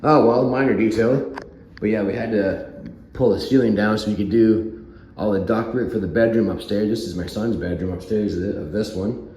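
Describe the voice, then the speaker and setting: A hoarse man giving a relaxed, rambling walkthrough of his half-renovated basement, chuckling as he chats to his viewers. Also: lowish voice, moderate pace, reverberant, slight background noise. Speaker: vlogger. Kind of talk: casual walkthrough chat. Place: home basement under renovation.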